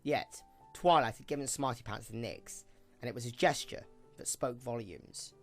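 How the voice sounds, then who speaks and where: A man narrating a scripted audio drama in an expressive storytelling manner. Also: medium pitch, moderate pace, faint music behind.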